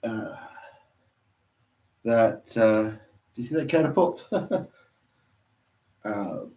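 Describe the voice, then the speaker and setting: A man who is sick and congested, chatting alone on a livestream in a halting way, full of pauses and restarts. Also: mid-range voice, animated, darkish tone, distant mic. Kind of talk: rambling solo livestream chat. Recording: roomy sound from off-mic.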